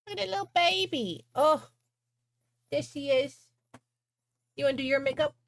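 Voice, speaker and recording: A woman's voice: sits high, moderate pace, vocal fry, dry recording. A woman livestreamer chatting casually with viewers and reading fan fiction aloud.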